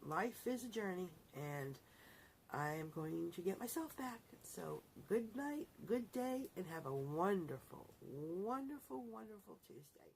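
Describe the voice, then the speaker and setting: A middle-aged woman talks to her viewers in a loose, rambling way and often corrects herself. Her voice sits fairly low and has a gravelly, creaky edge. A faint hiss of noise runs under the recording. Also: animated, a little slow, low-quality recording. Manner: rambling, chatty, self-correcting. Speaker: vlogger. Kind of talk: casual talk to camera.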